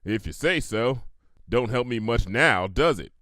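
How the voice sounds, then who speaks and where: A man's voice: medium pitch, animated, a little slow, fairly bright tone, dry recording, clean studio sound. A man voicing a blunt, hard-working female cartoon farm pony with a folksy, drawling way of talking, in a story reading. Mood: glum, resigned. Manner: folksy, plain-spoken, drawling dialect.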